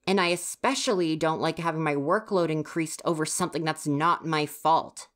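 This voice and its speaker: Low-voiced, huffy tsundere student stagehand, acted by a woman. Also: normal speed, fairly bright tone. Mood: defensive, opening up. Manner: huffy, exasperated, sighing scolding. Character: grumpy flustered tsundere student stagehand, university age. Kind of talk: ASMR roleplay, acted directly to the listener.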